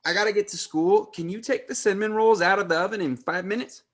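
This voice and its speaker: A man's voice: high voice, quick pace, fairly bright tone. A man playing an easygoing, chummy, teasing teenage son in a comedy table read. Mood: teasing, fond.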